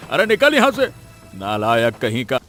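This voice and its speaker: A man's voice: a little high, pushed and bright, full character voice. A man voicing a callous, greedy village healer in a Hindi cartoon story, drawling and hemming with a dismissive sneer. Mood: mocking.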